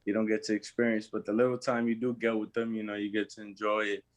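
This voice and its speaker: A young college basketball player from Massachusetts answering interview questions in a halting way, filling pauses with "you know" and "uh". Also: male voice, lowish voice, flat delivery, no room sound. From Lawrence, Massachusetts. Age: young adult.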